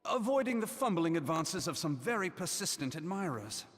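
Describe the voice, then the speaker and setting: An actor playing a suave, quick-witted young rogue in a video game, with wry, eloquent, slightly archaic speech. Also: male voice, a little high, big pitch swings, a bit bright. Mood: wry, composed. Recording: long hall reverb.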